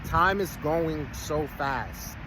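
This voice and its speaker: Late 30s guy going off about stuff, streetwise slang, kinda high voice, cars going by loud in the back. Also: very slow. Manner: rambling, streetwise slang, preachy asides. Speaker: vlogger. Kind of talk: casual monologue to followers. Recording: outdoor street noise, vehicles passing.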